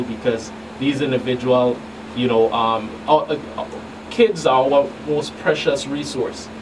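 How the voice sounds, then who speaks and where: A middle-aged man from the U.S. Virgin Islands speaking as a law enforcement guest in a halting, deliberate way. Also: medium pitch. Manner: halting, deliberate, hesitant phrasing. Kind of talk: talk show interview. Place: small radio studio. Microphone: studio boom microphones in view. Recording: distant mic, clearly noisy room hum.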